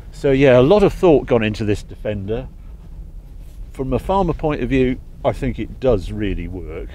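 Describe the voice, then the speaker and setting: A man's voice: fairly low pitch, warm tone, slight background noise. A man in his sixties hosting his own farm channel, talking casually and musing aloud while driving and then standing in a field. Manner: chatty, musing aloud with restarts. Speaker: farmer and channel host. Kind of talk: casual vlog review and farm talk. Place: inside a car, then out in an open field.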